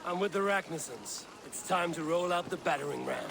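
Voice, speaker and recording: An actor playing a calm, resolute Norse raider leader with dry wit in a game cutscene, direct and measured. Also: male voice, on the high side, vocal fry. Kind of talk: dramatic game cutscene acting. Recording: noisy outdoor ambience behind.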